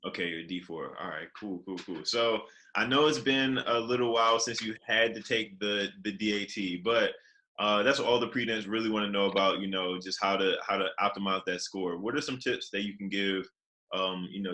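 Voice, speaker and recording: A man hosts a casual interview over a video call. His voice is low with a bright, forceful edge, and he talks in a laid-back way with friendly slang. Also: steady tone, a little fast. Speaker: podcast host.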